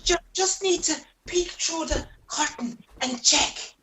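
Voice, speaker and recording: A woman's voice: high-pitched, takes it slowly, pushed and bright, a little hiss or hum, full character voice. A woman playing a hapless, flustered man in a radio play, hesitant and stammering. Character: flustered, hapless man caught with his lover.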